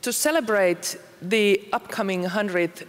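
A woman in her forties with a Finnish accent opens her address from a lectern with formal courtesy. Her pitch sits in a middle range. A long hall reverb trails each phrase. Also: unhurried. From Finland. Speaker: parliamentary committee chair. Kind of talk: formal conference speech. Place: large conference hall, standing at a lectern. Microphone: lectern microphone.

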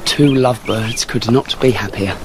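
An actor playing an affected young fiancé, fawning and evasive, with a florid theatrical manner in a radio drama. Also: male voice, medium pitch, normal speed, noisy background, close to an everyday voice.

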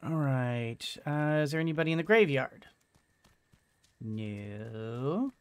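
Slow-paced man musing through a video game. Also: mid-range voice, expressive, smooth, dry recording. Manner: hesitant, musing to himself as he plays. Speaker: gaming video host. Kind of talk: casual let's play commentary. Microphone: microphone on boom arm, headset. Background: game music.

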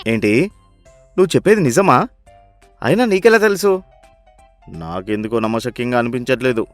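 A man voicing a stingy, gruff village father in a cartoon moral story, scolding and suspicious. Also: medium pitch, expressive, normal speed, soft background music, natural voice. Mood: incredulous.

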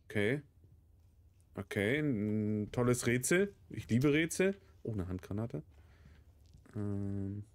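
A man's voice: lowish voice, lively intonation, slow, long pauses. A man in his thirties with a cold, giving casual, sweary gameplay commentary as a let's play host. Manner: sweary, muttering reactions to the game. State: has a cold, coughing.

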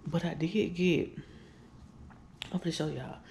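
A woman's voice: sits low, measured pace, vocal fry, darkish tone, a little hiss or hum. A woman, chatting tiredly to her viewers from a living room couch into a handheld camera. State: weary. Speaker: vlogger. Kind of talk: casual chat to viewers. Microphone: handheld camera at close range.

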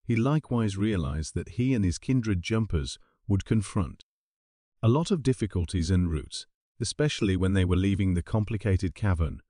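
A man reading a scripted documentary narration in a steady, even voice-over. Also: low-pitched, moderate pace, no echo, clean studio sound. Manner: steady, even reading of garbled paraphrased text.

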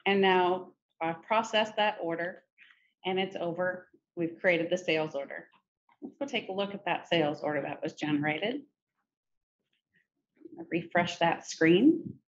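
Low-voiced woman on muffled, noisy audio. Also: measured pace. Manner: informal step-by-step explaining. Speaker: software demo presenter. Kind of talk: conversational screen-share tutorial.

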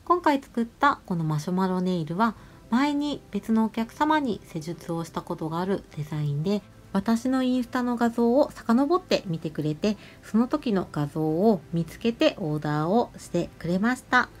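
A woman nail artist narrating a tutorial voice-over, explaining each step politely and giving gentle advice. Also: mid-range voice, expressive, moderate pace, few pauses. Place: voice-over over close-up salon footage. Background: music.

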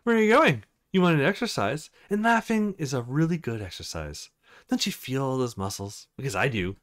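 A man's voice: medium pitch, very animated, fairly quick, a bit bright. A man voicing an easygoing young man from a visual novel game, dryly teasing.

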